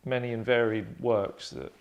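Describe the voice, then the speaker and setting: Man, 30s, mid-pitched, casual and dry, rambling host in a relaxed group discussion. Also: moderate pace, highs cut off. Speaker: writer and host. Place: furnished indoor lounge.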